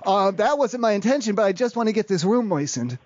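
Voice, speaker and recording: Prank caller, male, high fast voice, rambling and stammering, feigning innocence, muffled lo-fi audio. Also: lively intonation, a little mellow. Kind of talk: prank phone call.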